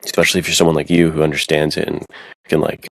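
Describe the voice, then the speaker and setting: A man's voice: extremely low pitch, little pitch movement, very fast, dry recording, rough recording. A man co-hosting a podcast, chatting casually with informal, conversational phrasing. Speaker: podcast co-host.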